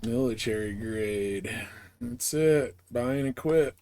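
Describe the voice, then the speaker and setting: A man's voice: mid-range voice, slow, warm tone. A man giving casual gameplay commentary as a streamer, muttering short remarks to himself as he thinks aloud. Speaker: game streamer.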